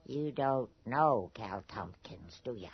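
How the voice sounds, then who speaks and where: Fearful country woman, low husky voice, folksy grammar, grimly insistent, radio horror drama, dull recording. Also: animated, takes it slowly, heavily acted. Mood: ominous warning. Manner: folksy ungrammatical speech, hushed and ominous. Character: anxious rural townswoman, gossipy, fearful and grimly insistent.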